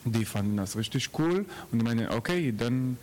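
A man's voice: medium pitch, lively intonation, moderate pace, a little mellow, rough recording. A man from Israel with an Israeli accent, chatting informally and slangily as an interviewed theatre maker. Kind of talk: casual interview chat.

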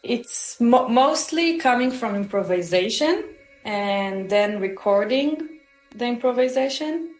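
A woman's voice: a little high, animated, slow pace. A woman, answering interview questions thoughtfully and searching for her words. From Israel. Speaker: musician guest. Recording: roomy with faint music.

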